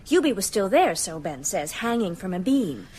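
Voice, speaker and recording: A woman's voice: mid-range voice, big pitch swings, normal speed, a little mellow, a little hiss or hum, lightly acted. An actress playing a sensible young sceptic in a radio drama, caring but uneasy as she reasons expressively. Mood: earnest, uneasy.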